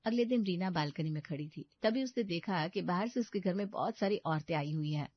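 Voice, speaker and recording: A woman's voice: fairly low pitch, fairly quick, no room sound. Woman, story narrator, scripted delivery, very dry recording, slightly dull top end.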